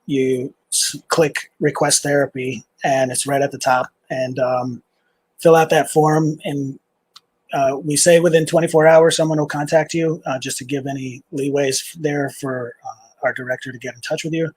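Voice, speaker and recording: A middle-aged man, a nonprofit founder, answering interview questions in a halting, casual way. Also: mid-range voice, fairly even intonation, husky, rough recording. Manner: halting, with many "uh"s and restarts.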